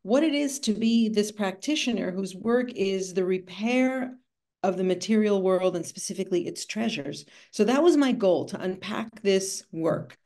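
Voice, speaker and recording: A woman in her sixties who was born in Cuba speaks over a video call from a room at home. She tells her story in a reflective, winding way, often stepping aside mid-thought, at a middle pitch. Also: expressive, moderate pace, dark tone. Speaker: author and art conservator.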